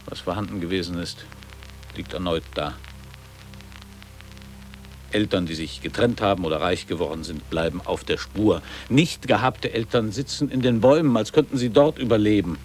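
An actor playing a reflective older former boarding-school pupil in a literary radio drama, reciting in a measured, lyrical and formal way. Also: male voice, low voice, a little mellow, faint music behind, lightly acted. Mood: grave, reflective.

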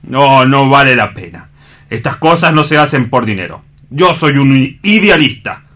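Bright-voiced, aggrieved loyal assistant. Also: male voice, medium pitch, expressive, measured pace. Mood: disillusioned. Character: earnest, morbidly disappointed male assistant, comically solemn idealist. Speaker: actor in a radio play. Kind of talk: dramatic staged radio reading.

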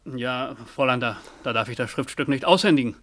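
An actor playing a hesitant, matter-of-fact courier in a German radio play, muttering in a politely official manner. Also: male voice, medium pitch, a little fast, a bit bright, lightly acted. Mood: awkward.

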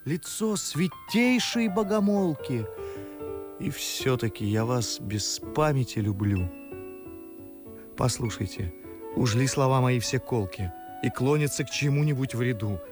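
An actor playing a witty, talkative, mocking young gentleman in an ardent, ironic verse radio drama. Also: medium pitch, animated, normal speed, over music, close to an everyday voice. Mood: ardent, pleading. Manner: ardent, ironic, theatrical declamation. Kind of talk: Russian classical verse radio drama acting.

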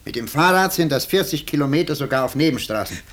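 An actor in a 1950s German radio drama playing a calm, sly arsonist lodger who is courteous, deliberate and matter-of-fact. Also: male voice, a little high. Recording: faintly noisy 1950s radio recording.

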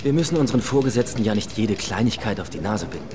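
Low-voiced laconic actor as police detective. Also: male voice, lively intonation, quick pace, music in the background, lightly acted. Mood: cool, probing. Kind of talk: German radio play acting.

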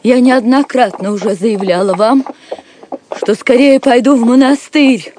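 A woman's voice: fairly high pitch, unhurried, a little mellow, a little hiss or hum, natural voice. An actress playing a proud, defiant young Saxon noblewoman, speaking formally and imperiously in an audio drama. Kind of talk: theatrical audio drama acting.

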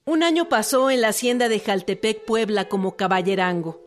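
A woman's voice: mid-range voice, moderate pace. A woman reading scripted narration for a historical radio drama, with music behind.

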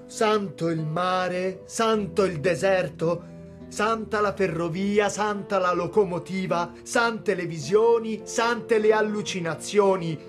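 An actor playing an impassioned poet, reciting a litany in an incantatory way. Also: male voice, high voice, slow pace. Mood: fervent, incantatory. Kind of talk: dramatic poetry recitation from a film dub. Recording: music under the voice.

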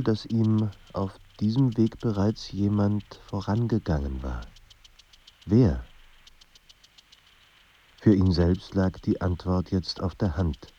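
Low, dark-voiced brooding narrator. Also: male voice, breathy edge, dull audio, exaggerated voice. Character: literary narrator of a troubled young writer's life, grave and brooding. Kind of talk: literary radio drama narration.

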